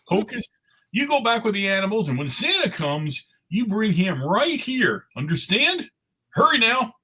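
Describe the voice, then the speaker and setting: A man voicing a cheerful, naive snowman, gentle and jokey, in a playful cartoon table read. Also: high voice, very animated, a little slow, breathy edge, heavily acted. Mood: urging. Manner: playful, earnest.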